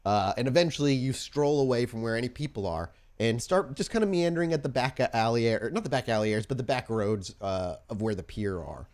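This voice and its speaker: A man narrating an improvised tabletop game as game master, descriptive and halting as he goes. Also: mid-range voice, lively intonation, darkish tone.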